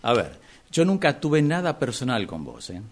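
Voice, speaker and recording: Humbled middle-aged Argentine boss out of work male actor, pleading and halting, radio drama. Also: medium pitch, wildly swooping pitch. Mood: defensive, weary. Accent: Argentine, Rioplatense. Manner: hesitant, pleading. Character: humbled older former boss begging for a job. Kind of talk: radio drama workshop acting.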